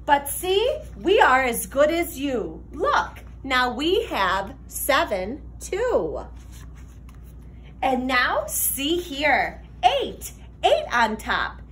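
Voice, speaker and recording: A young woman reads a picture book to children in a high voice with big swings in pitch. Her delivery is slow, sing-song and expressive, like a teacher's. There is faint noise from the room behind her. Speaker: teacher reading aloud to children. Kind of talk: animated children's picture-book read-aloud. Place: classroom, indoors.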